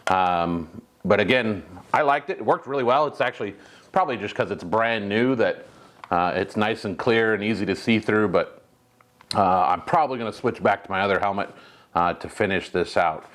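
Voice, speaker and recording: A man's voice: fairly low pitch, normal speed, fairly bright tone. An American man hosting a fabrication vlog, talking casually in a hesitant, rambling, laid-back way. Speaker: host of a metal fabrication how-to channel. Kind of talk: casual workshop vlog talk.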